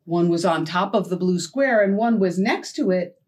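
A woman's voice: lowish voice, normal speed. A middle-aged American woman leading a children's storytime, speaking simply and encouragingly to young listeners as she teaches and tells stories. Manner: animated, encouraging, addresses young children with questions. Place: indoor storytime set with backdrop and felt board.

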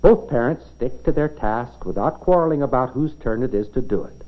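An American man narrates in the style of a 1950s educational nature film. His pitch moves in lively swings as he explains things simply, as if telling a story to children. The sound is slightly muffled, like an old film soundtrack. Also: brisk. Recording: dull, band-limited 1950s film soundtrack.

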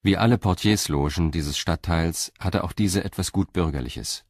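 A man narrating a crime radio drama in an even, measured way. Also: deep voice, a little hiss or hum. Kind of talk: scripted narration.

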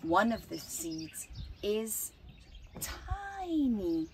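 A middle-aged woman reading a children's picture book aloud outdoors, phrasing deliberately with dramatic emphasis and hushed words. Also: mid-range voice, sing-song, slight background noise. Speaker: storytime reader. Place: outdoors at a flower farm. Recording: outdoor ambience with birdsong.

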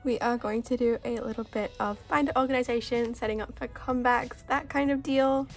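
A young woman, chatting casually as a vlogger while she sorts her binders, her voice rough from a cough, often giggling. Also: a little high, steady tone, fairly quick. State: sounds sick, coughing voice. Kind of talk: casual chat. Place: indoors at a desk. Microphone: overhead camera, hands only.

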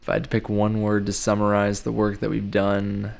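A man's voice: on the low side, monotone, fairly quick, gravelly. A young man narrating a scripted vlog about his own work, with wry, self-deprecating humour. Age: young adult. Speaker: video creator and game developer.

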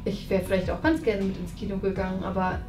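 A woman's voice: medium pitch, steady tone, fast, over music, rough recording. A young adult woman in casual everyday conversation, picked up by a camera across a room indoors. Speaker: colleague and target of hidden-camera pranks. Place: indoor office and studio kitchen. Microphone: hidden camera across the room.

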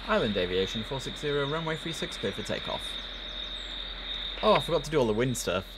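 A man's voice: mid-range voice, sing-song, fairly quick, very noisy. Young man streaming, chatty with radio calls. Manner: chatty, addresses chat, mixes in clipped radio phrasing. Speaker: streamer playing air traffic control. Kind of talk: casual gameplay commentary with radio calls. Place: home room at a desk. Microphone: headset.

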